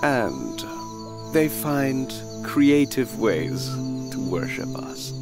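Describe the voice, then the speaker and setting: A man's voice: medium pitch, sing-song, very slow, music in the background, heavily acted. A man playing an amused, worldly god who savours his words, in a fantasy audio drama. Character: amused, worldly male god watching mortals.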